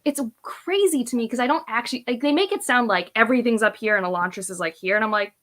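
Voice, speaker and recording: A woman's voice: a little high, fast, a bit bright. A woman in her thirties chatting casually and emphatically about books, rambling and opinionated. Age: 30s. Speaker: book vlogger on a read-along panel. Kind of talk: casual book chat.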